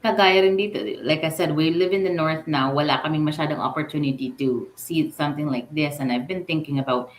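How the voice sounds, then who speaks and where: A woman co-hosting a radio interview, chatty and animated, with a self-deprecating way of talking. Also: sits low, fast, hardly any pauses. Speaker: radio co-host. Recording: roomy echo.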